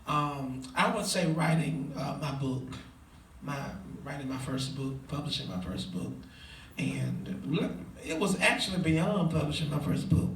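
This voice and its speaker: A man in his twenties, a preacher, answering interview questions hesitantly with many fillers and soft laughs. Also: on the high side, lively intonation, unhurried, a little hiss or hum. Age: 20s. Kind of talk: casual Q&A interview. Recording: echoey room, far from mic.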